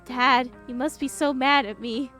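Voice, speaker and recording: A distraught teenage girl from a visual novel, acted by a woman, high-voiced, pleading and full of remorse, over game music. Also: fairly even intonation, a little slow, fairly bright tone. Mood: distraught, guilty. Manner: pleading, self-blaming.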